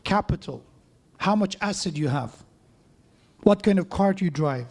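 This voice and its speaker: A man's voice: high voice, animated, normal speed. A man preaching a sermon in a mosque, conversational, with joking asides and frequent restarts.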